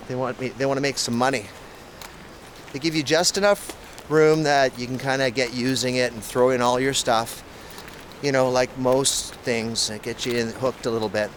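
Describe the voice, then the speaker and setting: A man's voice: medium pitch, a little hiss or hum. A man from Canada talking casually outdoors in a rambling way, often restarting his thoughts while holding his phone. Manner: rambling, thinks aloud with many restarts. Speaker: vlogger. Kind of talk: casual outdoor talk to camera.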